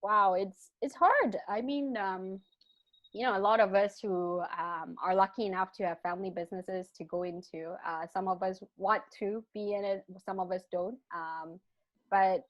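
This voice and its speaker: A woman's voice: medium pitch, moderate pace, no echo. A woman from Malaysia whose accent mixes British and Malaysian sounds. She talks animatedly, often restarting her sentences. The sound is dull and lo-fi, and dry. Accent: mixed British and Malaysian. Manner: animated, frequent restarts, laughs between thoughts. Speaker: guest. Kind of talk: casual interview talk.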